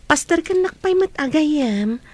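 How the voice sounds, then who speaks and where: A woman's voice: high-pitched, animated, measured pace. An actress playing a kind, discreet young woman in a radio drama, earnest and solicitous. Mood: friendly.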